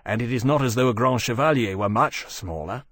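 A man's voice: mid-range voice, fairly quick, fairly bright tone, no echo, studio quality, lightly acted. Man voicing an earnest, precise dragon. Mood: scolding. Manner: precise, earnest, formal phrasing. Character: earnest, eager young male dragon, polite and bossy.

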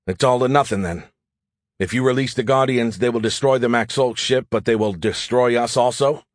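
Man as a frank, resolute starship commander, mid-pitched. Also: moderate pace, dry recording, studio quality, natural voice. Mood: grim, firm. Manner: plain-spoken, wry. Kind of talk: audiobook character dialogue.

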